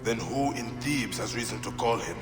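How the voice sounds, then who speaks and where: A man's voice: lowish voice, animated, normal speed, natural voice. A man playing a brooding, driven ancient Egyptian warrior in a video game, speaking tersely with grave intensity. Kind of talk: dramatic scripted game dialogue. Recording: echoey hall, loud music behind.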